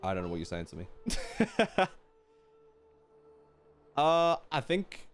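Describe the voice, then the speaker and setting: A man talks casually while streaming a game, his intonation lively and wide-ranging. He thinks aloud and keeps turning to his viewers with questions. Faint game music plays behind him. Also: a little high, slow pace.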